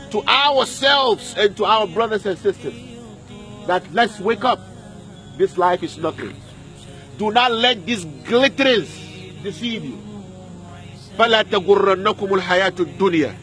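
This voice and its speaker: A man's voice: high-pitched, fairly bright tone. A man preaching a sermon at a graveyard, repeating lines and putting questions to his listeners, with Arabic verses in between. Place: outdoor graveyard.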